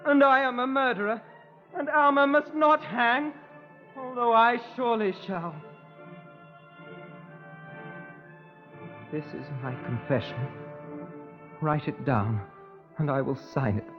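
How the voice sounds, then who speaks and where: An actor playing a heartbroken young man, haltingly confessing to murder in an emotional 1950s radio drama style. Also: very high-pitched, expressive, soft background music. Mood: anguished. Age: young adult. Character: heartbroken eighteen-year-old lad confessing to murder. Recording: muffled old radio broadcast recording.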